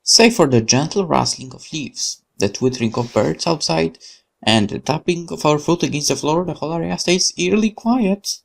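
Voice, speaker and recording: A man whose first language is Polish, hosting a let's play and reading a horror visual novel aloud with lively asides and self-corrections. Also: on the high side, normal speed, gravelly.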